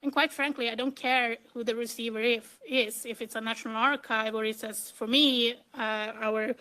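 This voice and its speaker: Middle-aged swedish lady giving a conference talk, accent, fairly high voice, plain-spoken and informal. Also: fairly even intonation, moderate pace, sharp tone, poor audio. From Sweden. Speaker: conference speaker, computer engineer. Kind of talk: conference presentation with slides.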